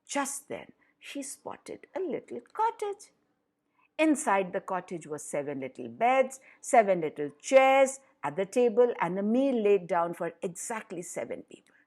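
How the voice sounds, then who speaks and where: A woman storyteller narrates a children's fairy tale. She takes her time over each phrase with deliberate emphasis. Her pitch moves widely, giving the telling a dramatic, expressive shape.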